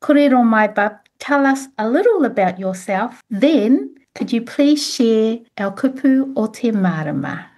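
Slow-talking New woman host. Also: fairly high pitch, lively intonation, darkish tone. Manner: slow, encouraging, short affirmations. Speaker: radio host. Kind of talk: casual radio interview.

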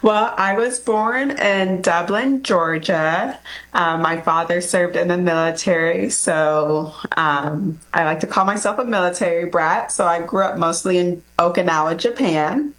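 A woman answering interview questions, unhurried and friendly. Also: on the low side, a bit bright, rough recording. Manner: unhurried, friendly, often laughs lightly. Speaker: guest.

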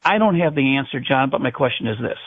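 A man's voice: mid-range voice, lively intonation, fast talker, breathy edge. An older man from the United States calling a radio show, giving a prepared comment in an earnest, formal way. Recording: tinny narrow phone line.

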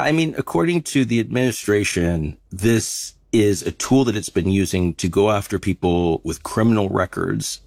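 A man reporting and explaining a story in a measured news conversation, with small restarts. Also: on the low side, dry recording.

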